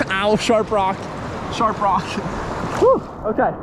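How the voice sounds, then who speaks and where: A young man in his twenties vlogging while fishing in a river by a waterfall, excitable and whooping when fish bite, recorded on a handheld action camera. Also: high voice. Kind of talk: excited outdoor vlog. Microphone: action camera held at arm's length. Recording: loud rushing water noise. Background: rushing river water.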